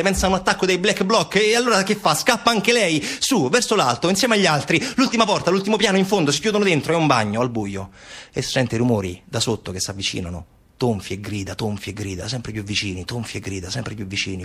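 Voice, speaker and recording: A man's voice: on the high side, a bit bright, slightly rough. A man performing a theatrical narrative monologue, with emphatic, repetitive, incantatory phrasing. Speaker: stage actor and narrator. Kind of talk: dramatic theatrical monologue storytelling.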